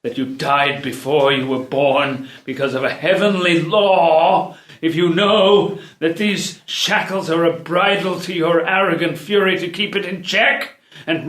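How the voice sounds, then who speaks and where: A man's voice: sits high, pushed and bright, full character voice. A man playing a stern older jailer torn by duty, declaiming grandly in a play read-through. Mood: grave reproach. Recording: roomy, echoey sound.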